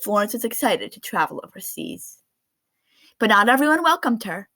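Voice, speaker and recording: A woman reading a children's picture book aloud in an expressive storytelling style. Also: a little high, normal speed, no echo. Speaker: read-aloud narrator of children's books.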